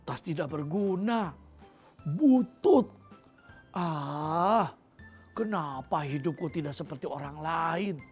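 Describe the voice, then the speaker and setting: A woman's voice: sits low, lively intonation, takes it slowly, long pauses, a little mellow, faint music behind. A woman voicing a poor, grumbling young man in a children's fairy tale, complaining with whines and sighs. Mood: whining self-pity. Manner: whining, sighing complaints.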